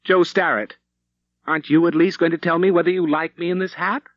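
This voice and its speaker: A man's voice: on the high side, fairly even intonation, brisk, no room sound, highs cut off, pitched above the performer's own voice, close to an everyday voice. A man voicing a spirited, proud homesteader wife who scolds in a pert, emphatic way, in an audiobook. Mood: indignant.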